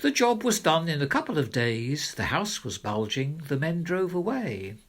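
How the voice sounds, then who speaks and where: A man reading a rhyming children's story as narrator, with expressive, theatrical delivery. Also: fairly high pitch, moderate pace. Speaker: narrator, reader of children's poems.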